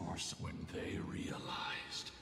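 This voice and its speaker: An actor playing a solemn, ancient warrior in a video game, speaking gravely in portentous, archaic phrases. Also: male voice, slow pace, noisy background, lightly acted. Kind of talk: dramatic video game voice acting. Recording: distant reverberant game audio under music.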